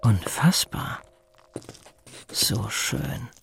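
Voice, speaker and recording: A man's voice: medium pitch, extremely slow, vocal fry, in a whisper, exaggerated voice. An actor playing a man's grumbling, crude, baffled inner voice, muttering exasperated in a radio drama monologue.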